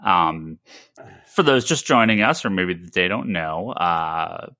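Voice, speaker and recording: A man's voice: low-pitched, animated, slow, bright, forceful tone, dry recording, clean studio sound. A man hosting a casual comics news podcast, talking emphatically and often repeating words for emphasis. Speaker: podcast host.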